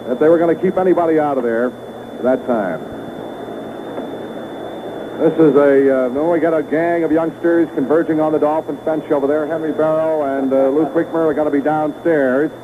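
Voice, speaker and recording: A man doing live radio play-by-play of a football game in short, clipped phrases. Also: fairly high pitch. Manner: clipped phrases, calling action as it happens. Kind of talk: live sports commentary. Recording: noisy phone-like vintage radio broadcast.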